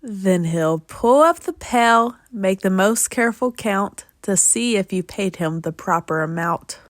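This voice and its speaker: Woman doing a kids book read-aloud, really animated pitch, slow n rhythmic, close dry sound. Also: no room sound. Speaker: children's book reader. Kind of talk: expressive storybook read-aloud.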